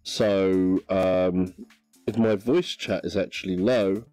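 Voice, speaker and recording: Slow, mellow gamer streaming. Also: male voice, low-pitched, no echo, faint music behind. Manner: relaxed, hesitant, with many ums and restarts. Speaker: livestream gamer. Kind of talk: casual livestream chat.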